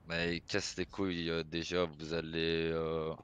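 Very low-voiced man, stern. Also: completely flat delivery, measured pace, a bit bright, smooth. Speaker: player acting a police commander. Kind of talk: improvised game roleplay.

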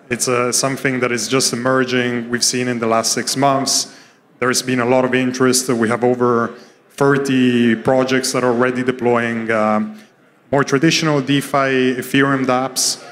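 A man in his thirties giving a conference product presentation as a company co-founder, speaking in a somewhat halting, hesitant way into a handheld microphone. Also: mid-range voice, fairly bright tone. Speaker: startup co-founder giving a keynote. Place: indoor conference stage. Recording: reverberant hall through stage microphone.

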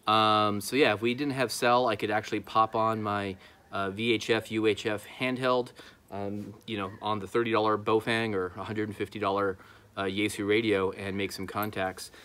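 A man's voice: on the low side, flat delivery, normal speed, bright, forceful tone. A man in his thirties talking casually to camera outdoors, with a hesitant, informal delivery, as an amateur radio vlogger. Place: outdoor backyard patio. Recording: clearly noisy outdoor recording.

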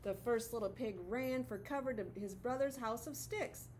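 A woman's voice: fairly high pitch, normal speed, a little mellow, a little hiss or hum. A middle-aged woman teacher reading a picture book aloud expressively to children, recorded in a classroom. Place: classroom, indoors.

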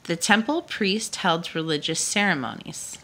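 A woman reading a children's nonfiction book aloud to a child in a measured storytelling delivery. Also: fairly low pitch, sharp tone, poor audio.

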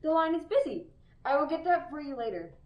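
A student actress playing a curt, mechanical telephone operator in a school radio play, speaking in short, clipped lines. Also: female voice, high-pitched, lo-fi sound. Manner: clipped, flat, quick. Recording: echoey room with faint hum.

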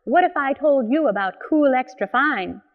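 A woman playing a suave, salesy pitchwoman in a parody commercial. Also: expressive, normal speed, in a large hall, phone-quality sound. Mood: smooth selling. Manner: polished, salesy. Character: suave pregnant cigarette pitchwoman in parody advertisement.

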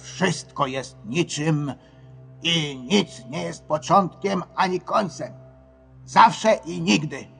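An actor playing a gentle, philosophical old grandfather in an audio drama, speaking tenderly. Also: a little high, slow, creaky, faint music behind, full character voice. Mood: calm, solemn. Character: gentle old grandfather guiding a boy through afterlife.

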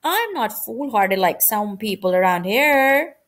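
A woman's voice: mid-range voice, big pitch swings, unhurried, a bit bright. A woman voicing a cautious, bossy elder uncle mouse in a storybook, emphatic and admonishing. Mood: grave, explaining.

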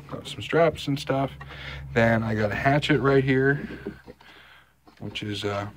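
A man's voice: medium pitch, fairly bright tone, a little hiss or hum. A man filming a casual show-and-tell vlog in his home basement workshop on a handheld phone, rambling with lots of fillers and restarts. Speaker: vlogger. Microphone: handheld phone camera.

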